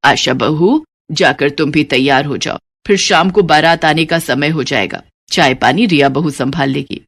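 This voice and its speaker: A woman plays an older mother-in-law in a village moral story cartoon. She is stern and commanding, quick to find fault with her daughters-in-law. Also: deep voice, a bit bright, voice pushed down. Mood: disapproving. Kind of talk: animated moral story character dialogue.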